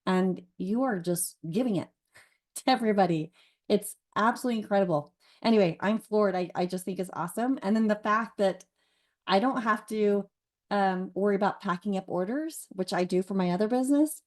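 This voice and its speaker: A woman's voice: mid-range voice, a little fast, a little mellow. A woman in her forties giving a chatty, gushing testimonial over a video call, laughing between thoughts. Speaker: partner and guest on a call. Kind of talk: casual testimonial. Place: car.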